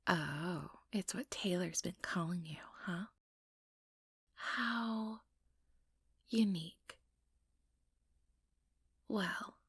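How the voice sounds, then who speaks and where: A woman playing an ambitious, sugary, manipulative vampire in an ASMR roleplay, speaking in a breathy, whispery voice with coaxing, condescending sweetness. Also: lowish voice, no echo, studio quality, heavily acted. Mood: coaxing, sweet. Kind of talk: ASMR roleplay, soft whispered monologue to listener.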